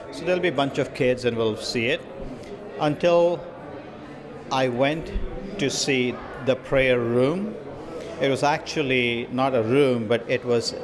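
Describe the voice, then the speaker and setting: A middle-aged man speaks earnestly, building a story step by step in an interview. His voice is mid-pitched, with a busy crowd chattering around him. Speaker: film producer interviewee. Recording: crowd noise behind.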